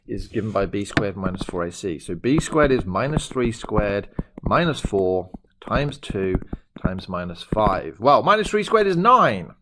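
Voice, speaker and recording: A male maths teacher talking through a lesson in a chatty, joking way. His voice sits at an ordinary middle pitch, and he leans hard on key words as he explains each step. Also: slight background noise. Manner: chatty, jokey, emphatic explaining.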